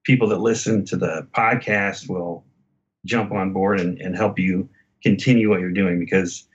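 A man speaking as a podcast guest in an earnest, measured and casual conversational way. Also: deep voice, steady tone, muffled recording. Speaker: podcast guest, former radio host.

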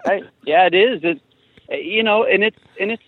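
A man's voice: high-pitched, moderate pace, dry recording. A man in his forties, a guest musician talking casually in an interview, rambling with many restarts and fillers. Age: 40s. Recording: tinny phone-like remote line.

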